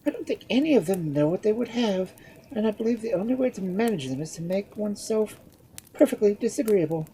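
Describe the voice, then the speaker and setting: A woman voicing a composed young noblewoman who speaks calmly and mildly scolds, in a storybook reading. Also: medium pitch, a little fast, slight background noise, voice pushed up, natural voice, poor audio. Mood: cool.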